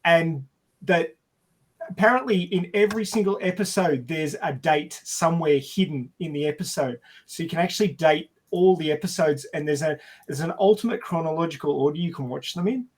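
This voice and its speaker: A man chatting casually as a podcast panelist, with a hesitant, halting delivery. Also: fairly high pitch. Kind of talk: casual chat.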